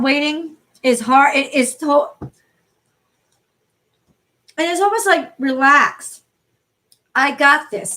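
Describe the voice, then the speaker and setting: A woman from the New York City area with a hoarse voice, chatting casually and swearing freely as she reads tarot cards live for viewers. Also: high voice, fairly bright tone. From New York City area, USA. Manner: chatty, profane, greets viewers by name. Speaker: tarot card reader hosting a livestream. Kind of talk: casual live-stream chat.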